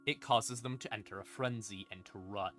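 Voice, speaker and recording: A man streaming a casual lore explainer in an informal, sweary, conversational way, with emphatic, dramatic stresses and drawn-out words. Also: fairly low pitch, pushed and bright. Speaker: streamer, host. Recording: music playing behind the voice. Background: creepy ambient music.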